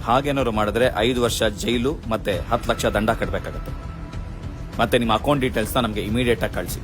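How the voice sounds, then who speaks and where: A man's voice: on the low side, fairly quick, over music, close to an everyday voice. A man playing an intimidating fake CBI officer, a stern scam caller giving orders in an awareness skit. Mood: stern, threatening. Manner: stern, commanding.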